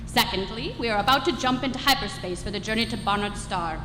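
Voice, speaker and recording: A woman's voice: mid-range voice, quick pace, background noise, lightly acted. A woman playing an officious, bitter alien spaceship captain in a live radio-style comedy, making curt, self-important announcements. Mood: bitter, menacing. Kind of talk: radio comedy stage play. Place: live stage performance in a hall. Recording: echoey hall, music behind.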